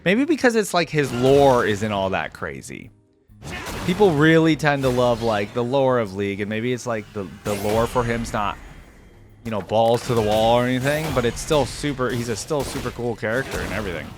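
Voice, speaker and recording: A man's voice: medium pitch, lively intonation, moderate pace, music in the background. A man giving casual reaction commentary in an informal, slangy gamer way. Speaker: reaction video host.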